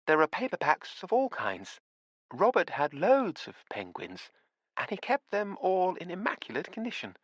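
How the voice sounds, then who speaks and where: A man reading an audiobook, voicing a sweet, genteel elderly landlady in a high, fluttering voice. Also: huge pitch swings, normal speed, a bit bright, no echo, full character voice. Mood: surprised, gushing.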